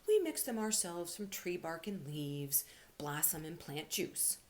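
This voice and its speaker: A woman voicing a gruff, stern, very old and large male rabbit in a children's storybook. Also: lowish voice, big pitch swings, measured pace, darkish tone, a little hiss or hum. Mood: stern, instructive.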